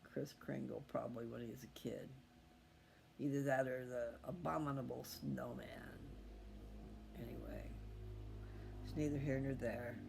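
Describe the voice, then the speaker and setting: Male, gravelly mid-pitched voice, folksy rambling chat, faint noise behind. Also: fairly even intonation, measured pace, pauses often, a little mellow. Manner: folksy, meandering, thinking aloud. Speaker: host of a scripture reading channel. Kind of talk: rambling casual chat to viewers.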